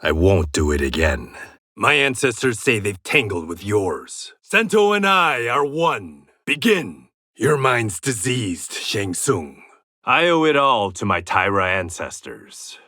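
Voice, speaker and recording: A man's voice: on the low side, very animated, slow, sharp tone, no echo, studio quality, heavily acted. An actor playing a proud warrior and former gangster in a video game, confident and terse, with a sardonic edge to his short fight taunts. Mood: wry, determined.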